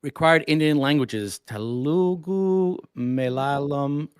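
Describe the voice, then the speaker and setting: A man's voice: on the high side, slow pace, smooth, dry recording. Male host, casual chatty delivery, rambling, dead-dry room. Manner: rambling, thinks aloud with fillers. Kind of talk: casual interview chat.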